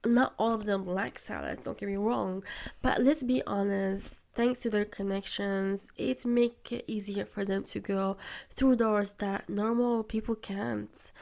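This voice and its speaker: Young woman, podcast host, sick, earnest opinions, vocal fry. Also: medium pitch, a little mellow. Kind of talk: solo opinion talk.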